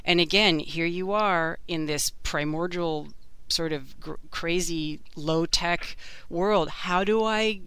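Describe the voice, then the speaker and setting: A man with a bright, crisp voice talks as a co-host on a book discussion. He explains things in an animated, casual way, often throwing out rhetorical questions. His delivery is enthusiastic and clean. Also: a little high, slow, dry recording. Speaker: talk show co-host.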